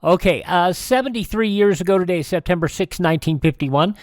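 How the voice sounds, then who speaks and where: Higher-pitched man reading news, dry sound. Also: no echo. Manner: halting reading with stumbles and self-corrections. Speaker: radio show host. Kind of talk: reading old news items between vintage radio dramas.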